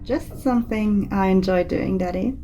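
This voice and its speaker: A woman's voice: mid-range voice, normal speed, warm tone, faint music behind, close to an everyday voice. Woman as a casually murderous teenage daughter. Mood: gleeful, smug. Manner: casual, petulant, smug. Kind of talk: horror dramatic reading.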